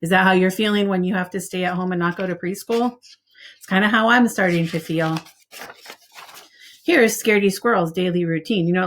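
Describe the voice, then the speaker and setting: A woman reading a picture book aloud like a preschool teacher, expressive and speaking directly to young listeners with casual asides. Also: on the low side, slight background noise.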